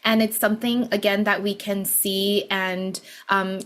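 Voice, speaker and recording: A woman's voice: medium pitch, level tone, measured pace, a bit bright. A woman from Hawaii who speaks English as a second language, a guest author explaining her ideas in an encouraging, chatty way with frequent "you know" and "right?". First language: not English. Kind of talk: conversational podcast interview.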